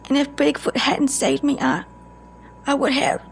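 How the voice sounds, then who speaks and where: A woman acting as a grieving female agent in an audio drama, her voice fairly high with a heavy creak. She confides haltingly and guardedly, breaking off mid-sentence, over faint background noise. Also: little pitch movement, low-quality recording. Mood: grieving, bitter.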